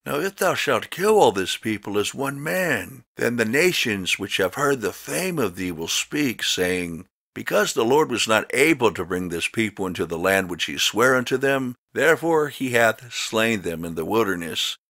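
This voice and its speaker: A man reading scripture as a narrator in a solemn, dramatic style, with measured, emphatic, declamatory delivery. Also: fairly low pitch, very animated, fairly bright tone, no echo, clean studio sound. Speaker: Bible narrator.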